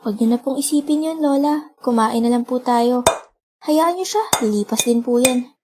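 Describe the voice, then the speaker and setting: A woman playing a gentle, caring teenage girl in a radio drama, earnest and politely respectful. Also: on the high side, normal speed, background noise, natural voice. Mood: soothing. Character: gentle, caring, studious teenage twin sister. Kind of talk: dramatic radio play acting. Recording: music behind the voice.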